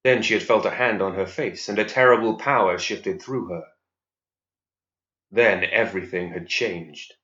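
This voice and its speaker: A man with a lowish voice narrates a fantasy story in a serious, measured way. The recording is noticeably roomy and a little dull. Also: a bit bright. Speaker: story reader. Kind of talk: scripted narration of fantasy fiction.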